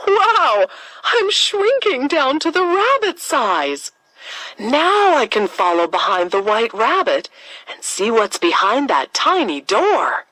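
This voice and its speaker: A woman's voice: high-pitched, sing-song, takes it slowly, sharp tone, no echo, voice pushed up, heavily acted, poor audio. A woman voicing a curious, determined young girl in a children's storybook, expressively thinking aloud. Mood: amazed.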